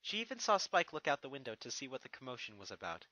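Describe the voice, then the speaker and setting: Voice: medium pitch, sing-song, fast talker, sharp tone, dry recording, poor audio. A narrator reading a fan fiction story expressively from a script, with music behind. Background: background music.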